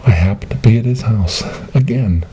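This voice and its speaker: A man performing an ASMR monologue as a gentle, grieving storyteller in a witch-trial roleplay, speaking softly and haltingly in a breathy, often whispered voice close to the microphone. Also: on the low side, a little hiss or hum, lightly acted. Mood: solemn, reminiscing. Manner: slow, halting, earnest, soft-spoken. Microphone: close binaural microphone.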